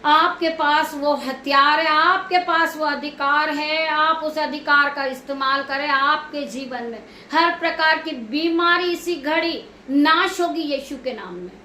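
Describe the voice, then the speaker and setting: High, forceful woman preacher in echoey room. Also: measured pace, hardly any pauses. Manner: emphatic, repetitive exhortation. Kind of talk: fervent Hindi sermon and evening prayer. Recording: echoey room with clear background noise.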